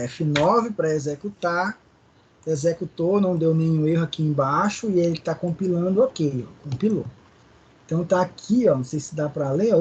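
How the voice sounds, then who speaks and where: A man's voice: sits high, a little mellow, slight background noise, low-quality recording. A male teacher with a Maranhão accent, explaining code step by step in a live online class and often checking in with his students. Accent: Brazilian Portuguese, Maranhão. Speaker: programming teacher.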